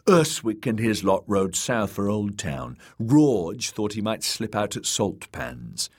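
A man's voice: fairly low pitch, sing-song, slow, full character voice. A man voicing a coarse, mocking sellsword who talks in a casual drawl. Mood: casual menace. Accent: fantasy drawl. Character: jeering male sellsword spearman, coarse and casual.

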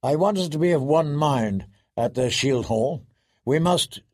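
A man voicing a serious young lord commander in an audiobook, measured and dry in delivery. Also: a little high, lively intonation. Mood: firm.

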